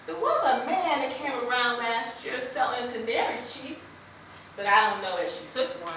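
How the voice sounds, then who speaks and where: Woman acting a high-voiced farm wife on echoey stage. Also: expressive, normal speed, fairly bright tone. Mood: fidgety, thoughtful. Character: plain-spoken farm wife, sympathetic, quietly defiant. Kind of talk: live amateur stage play acting. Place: indoor theater stage, distant microphone. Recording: echoey stage from far off, faint noise.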